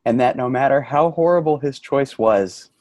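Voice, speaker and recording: A man's voice: mid-range voice, moderate pace, dry recording, clean studio sound, natural voice. A man acting in a play reading as an earnest, fed-up male office worker, grieving and sardonic, with an emotional delivery. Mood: solemn, heartfelt. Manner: emotional, swinging from shouting to quiet grief. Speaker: actor in a staged reading.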